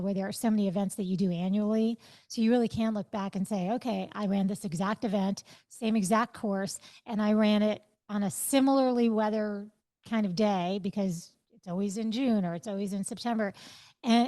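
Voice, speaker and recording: A woman in her fifties, a podcast interview guest, talking in a reflective, animated way and stressing the thoughts she quotes. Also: mid-range voice. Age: 50s.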